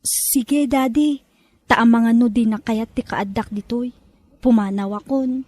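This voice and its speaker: An actress playing an earnest, respectful young adult granddaughter in an Ilocano drama, with an Ilocano accent. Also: female voice, on the high side, steady tone, unhurried, mellow. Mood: subdued. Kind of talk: Ilocano radio-style drama acting.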